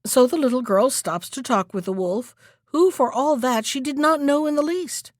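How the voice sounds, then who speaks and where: Female folktale narrator, slightly high voice, lively and expressive, recorded dry. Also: moderate pace, no room sound. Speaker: storyteller.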